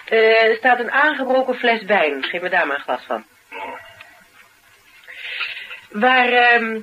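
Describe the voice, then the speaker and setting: A woman's voice: on the high side, moderate pace, pushed and bright, lo-fi sound. An actress playing an assertive, wry woman investigator in a naturalistic Dutch radio drama, speaking in a dry, pointed way. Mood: wry, tired. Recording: radio drama with light background noise.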